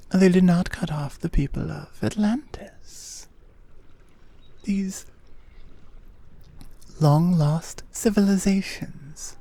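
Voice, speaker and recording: A woman playing a regal, patient Amazonian queen, proud and wary of humans, in an intimate ASMR roleplay with measured, breathy half-whispers. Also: lowish voice, mellow, full character voice.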